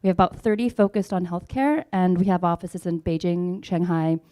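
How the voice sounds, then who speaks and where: A woman in her fifties from the United States introducing herself as an investment banker on a panel, matter-of-fact and steady. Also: fairly low pitch, normal speed, clear, resonant tone. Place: conference panel.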